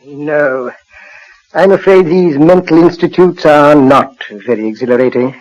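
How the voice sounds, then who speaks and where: An actor playing a genial, courteous British asylum doctor, faintly patronising, in 1940s radio acting style. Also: male voice, fairly high pitch, unhurried, darkish tone, natural voice. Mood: matter-of-fact. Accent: British English. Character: genial, matter-of-fact male asylum doctor. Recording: dull, lo-fi 1940s radio recording.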